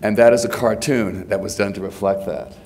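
A man in his sixties with a cold, giving an academic lecture at a podium microphone in a large hotel ballroom, often pausing on fillers and restarting his phrases. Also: lowish voice, steady tone, darkish tone. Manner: hesitant, many fillers and restarts. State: has a cold. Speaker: lecturer giving a presidential address.

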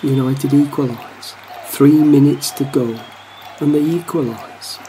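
Guy playing a rueful footie fan telling his story in a radio drama, soft warm tone. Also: medium pitch, unhurried, some chatter behind, slight background noise, close to an everyday voice, rough recording. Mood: dramatic, rueful. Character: wry football fan, melancholy narrator of an away trip. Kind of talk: radio play narration.

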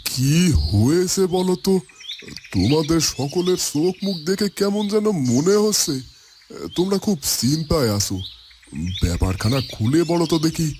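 A man's voice: on the high side, big pitch swings, moderate pace, darkish tone, a little hiss or hum. A man playing a kindly middle-aged village merchant and father in a cartoon, amiable and folksy. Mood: concerned. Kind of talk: Bengali cartoon dialogue.

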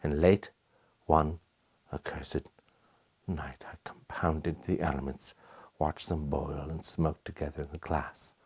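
Haunted gothic gentleman scientist, male actor, middle-aged, husky and slow, grave theatrical monologue. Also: rumbling low, steady tone, heavily acted. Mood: grave, brooding. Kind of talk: dramatic monologue from gothic fiction.

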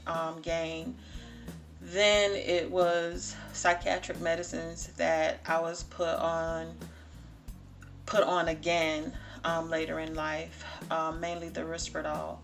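A woman's voice: on the low side, gravelly, a bit bright. A woman in her forties talking casually to the camera in her home kitchen, emphatic and repeating words for stress. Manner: emphatic, repeats for stress, talks directly to viewers. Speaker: vlogger. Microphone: camera or phone in front of her.

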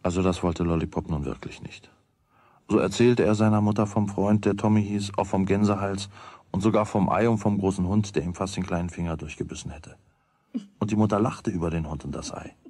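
A man's voice: deep voice, fairly even intonation, fairly quick, dark tone, slightly rough, no room sound. A man narrating a children's radio play as its storyteller, in a scripted storytelling style. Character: storyteller of a boy's everyday adventures.

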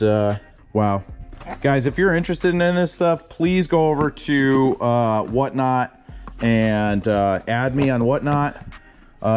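Excitable young man unboxing a storage unit. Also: medium pitch. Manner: excitable, keeps exclaiming wow and singing snatches. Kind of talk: excited casual narration while unboxing.

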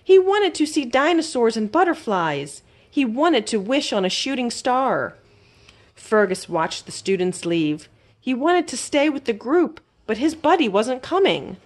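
Animated woman reading a children's story. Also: fairly high pitch, a little slow. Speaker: library storytime reader. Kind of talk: expressive children's read-aloud.

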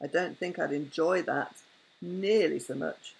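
A woman's voice: low voice, unhurried, vocal fry, exaggerated voice, rough recording. A grandmother voicing a simple, kindly bear in a bedtime storybook reading. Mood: innocent.

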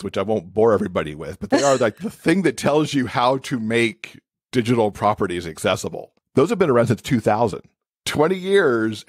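A man's voice: lowish voice, no room sound, studio quality. A man speaking as an expert podcast guest, explaining things in a conversational interview style.

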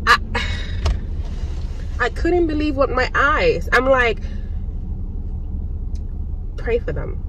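A young woman vlogging casually into a phone at arm's length, animated and exasperated, swearing freely, first in a room at home and then sitting in a car. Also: sits high, drawn-out words, long pauses, a little hiss or hum, poor audio. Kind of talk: casual vlog chat and rant. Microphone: phone camera at arm's length.

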